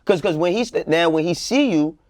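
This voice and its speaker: A man's voice: a little high, expressive, fairly quick, a little mellow, no echo, studio quality. A man co-hosting a music talk show, giving blunt, slangy opinions and repeating words for emphasis. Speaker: co-host of a music news show. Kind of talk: casual opinionated panel debate.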